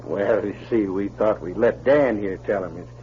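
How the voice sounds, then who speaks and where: An actor playing a scheming, crooked prospector with a brisk, shifty delivery in 1940s radio style. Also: male voice, on the low side, natural voice. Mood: nervous, evasive. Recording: muffled old radio with hiss.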